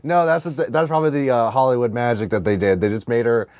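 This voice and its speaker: A man with a medium-pitched voice, recorded dry, co-hosts a podcast. He jokes in loose, slangy banter and curses often. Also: quick pace, a little mellow, clear, resonant tone, dry recording. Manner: profane, jokey, slangy. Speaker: podcast co-host. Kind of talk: casual joking banter.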